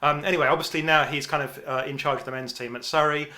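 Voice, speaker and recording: English man, bright forceful tone, informal, rambling with restarts. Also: fairly high pitch, steady tone, fast. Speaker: podcast co-host. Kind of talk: casual cricket podcast chat.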